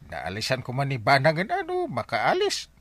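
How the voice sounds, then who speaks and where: A man acting in a Filipino radio comedy as an excitable, argumentative, blustery village captain with an Ilocano accent, hemming and chuckling as he talks. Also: medium pitch, moderate pace, very noisy. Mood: blustery, joking. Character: Enteng, blustery comic village captain fond of drink.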